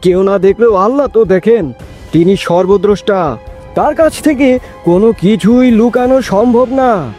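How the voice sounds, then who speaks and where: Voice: medium pitch, a little slow, dark tone, close to an everyday voice. A performer voicing an earnest, pious young boy in a moral cartoon. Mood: firm refusal. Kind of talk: cartoon voice acting. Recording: music behind the voice. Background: background music.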